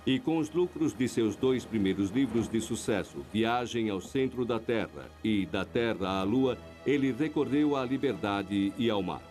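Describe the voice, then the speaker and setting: A man reading a scripted documentary narration in a measured, even way. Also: fairly low pitch, soft background music.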